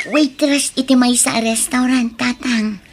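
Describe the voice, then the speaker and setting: A caring young working woman, speaks as a dutiful daughter in a radio drama. Clear noise runs behind her voice. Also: a little high, fairly even intonation, unhurried. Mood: earnest. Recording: noisy radio drama recording.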